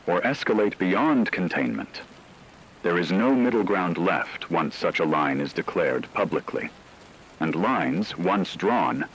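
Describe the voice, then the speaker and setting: A man playing a solemn radio anchor, reading a scripted emergency broadcast in a measured, ominous manner. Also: low voice, a bit bright, breathy edge, heavily acted, low-quality recording. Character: grave male radio anchor reporting a looming war.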